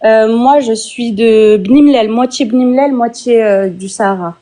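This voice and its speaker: A French-born woman being interviewed, defending her views earnestly and haltingly with many fillers. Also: fairly high pitch, mellow. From France. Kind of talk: defensive personal account.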